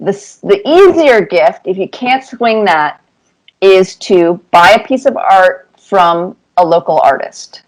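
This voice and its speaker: A woman's voice: mid-range voice, animated, slow, low-quality recording. A woman in her fifties giving casual, thoughtful interview answers as a guest, with little anecdotes. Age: 50s. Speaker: cartoonist guest being interviewed.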